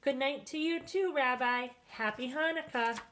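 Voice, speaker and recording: A woman voicing a kindly old grandmother in a children's story, fond and gently scolding. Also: high-pitched, moderate pace, a little hiss or hum, voice pushed up. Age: older. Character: kindly, hospitable old grandmother, chuckling and fussing. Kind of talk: children's storybook read-aloud.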